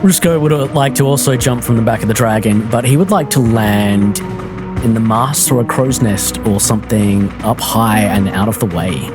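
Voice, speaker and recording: A man's voice: lowish voice, normal speed, over music. Casual man playing a tabletop game.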